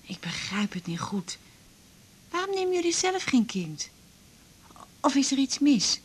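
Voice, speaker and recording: An actress playing a wistful, plain-spoken woman confidante in a naturalistic Dutch radio drama, husky and questioning. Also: high-pitched, big pitch swings, unhurried. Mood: puzzled.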